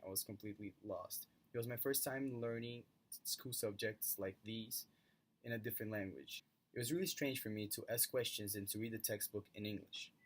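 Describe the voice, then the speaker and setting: Young man, Brazilian accent, low voice, earnest student narrating a personal story. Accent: Brazilian Portuguese. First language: Portuguese.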